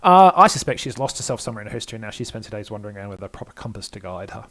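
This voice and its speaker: A man's voice: on the low side, sing-song, rapid-fire, a bit bright. An older man doing gameplay commentary, reading story text aloud with hesitations and small asides.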